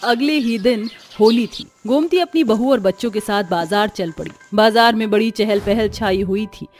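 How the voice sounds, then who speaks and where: A woman's voice: medium pitch, normal speed, darkish tone, faint music behind. A woman giving scripted, dramatic narration of a story.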